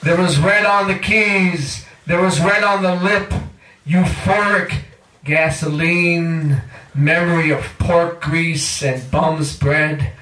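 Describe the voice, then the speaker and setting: A man's voice: fairly high pitch, fairly even intonation, slow, pushed and bright. A man reciting poetry live in an incantatory way, drawing out and repeating his phrases. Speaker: featured poet at a reading. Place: indoor cafe poetry reading. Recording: echoey room, distant microphone.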